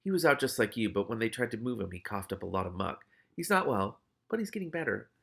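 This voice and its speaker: A man's voice: mid-range voice, sing-song, fast, gravelly, fairly bright tone, husky, exaggerated voice. A man voicing an earnest, trusting boy in a storybook reading, plain-spoken and a little worried. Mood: concerned.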